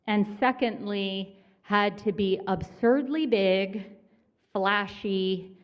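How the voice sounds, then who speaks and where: A woman minister giving a sermon in a church, conversational and gently self-mocking in her humor. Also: mid-range voice, slow pace, highs cut off. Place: church sanctuary.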